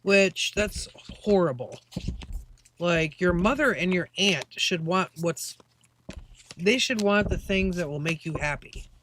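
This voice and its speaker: A woman's voice: lowish voice, slow pace, fairly bright tone, a little hiss or hum, poor audio. A woman doing a casual live-stream tarot reading, chatty and rambling with many fillers. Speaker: tarot reader.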